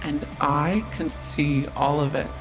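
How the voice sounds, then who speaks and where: A woman playing a proud, cunning otherworldly female stranger in an audio drama, speaking in a measured, formal, dryly sarcastic manner. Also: deep voice, steady tone, rough recording. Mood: assured. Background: prominent music.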